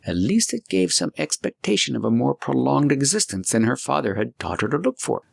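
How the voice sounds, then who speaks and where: A man reading a storybook aloud as an audiobook narrator, in an expressive, deliberate way. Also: mid-range voice, gravelly, no room sound, clean studio sound.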